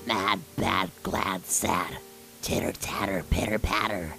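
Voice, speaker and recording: A man voicing mad, taunting demonic creatures with a shrill, creaky edge and sing-song, repetitive teasing. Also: steady tone, slow, bright, forceful tone, soft background music, exaggerated voice. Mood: gleeful teasing.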